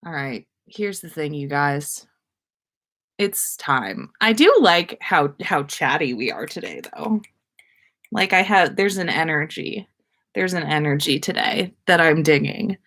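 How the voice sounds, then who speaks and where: A woman hosts a livestream in a casual, chatty style. Her voice sits a little lower than most women's, and she talks at a markedly slow pace. She is effusive and rambling, often playfully aware of herself as she addresses her viewers. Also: lively intonation, fairly bright tone. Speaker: livestream host.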